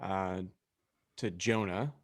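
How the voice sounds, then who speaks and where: A man's voice: deep voice, slow, dry recording, clean studio sound. A man co-hosting a casual comedy podcast, chatty and joking as he keeps the conversation moving along. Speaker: podcast co-host.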